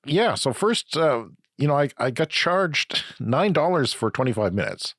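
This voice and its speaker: A middle-aged man with a flu-raspy voice, co-hosting a casual podcast chat and rambling through explanations. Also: fairly high pitch, very animated, measured pace, a bit bright, dry recording, studio quality. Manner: rambling, self-correcting explanations. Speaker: podcast co-host.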